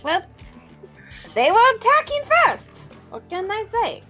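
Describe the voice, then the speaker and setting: A woman's voice: very high-pitched, wildly swooping pitch, slow pace, bright, forceful tone, faint music behind. A woman playing a tabletop game, chatting casually and playfully, sometimes speaking in character.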